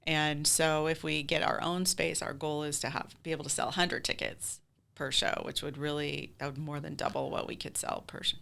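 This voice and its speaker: A woman's voice: low voice, level tone, moderate pace, creaky, bright, forceful tone. A woman speaking conversationally as a theater group president being interviewed, explaining things in chatty, run-on detail.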